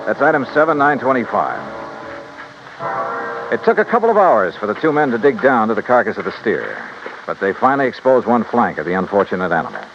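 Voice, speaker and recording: A man's voice: fairly low pitch, big pitch swings, brisk, background noise. An American man playing a tough, matter-of-fact insurance investigator who narrates his case in old-time radio drama style. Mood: wry narration. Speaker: radio detective lead and narrator. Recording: dull vintage radio recording with music.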